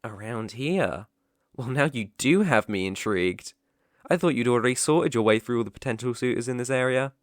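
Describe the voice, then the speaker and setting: A man's voice: mid-range voice, expressive, normal speed, no echo, studio quality, lightly acted. A man with a British accent playing a devoted, proper butler in an intimate ASMR romance roleplay, polite, deferential and hesitant. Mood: curious, teasing. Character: young male butler, devoted, proper, flustered by love.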